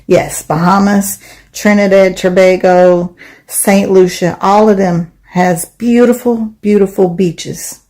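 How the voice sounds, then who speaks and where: A woman's voice: medium pitch, fairly even intonation, takes it slowly, a little mellow. A woman giving blunt, teasing opinions in a casual social media video. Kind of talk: casual commentary.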